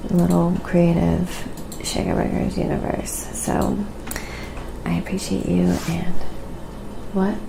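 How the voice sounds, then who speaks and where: Very creaky, soft-spoken woman livestreamer. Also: on the low side, noisy background. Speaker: live streamer, painting artist. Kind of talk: casual livestream chat with ASMR painting narration.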